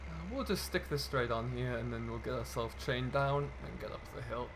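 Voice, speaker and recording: A man's voice: medium pitch, brisk, noisy background. A man hosting a gaming video, giving casual, chatty role-play commentary and talking himself through the driving as he plays.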